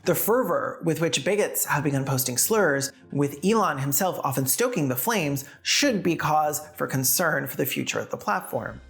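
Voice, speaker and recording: Low-voiced sardonic woman with a creaky edge. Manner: sardonic, casual asides. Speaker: video essayist and host. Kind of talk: scripted commentary narration.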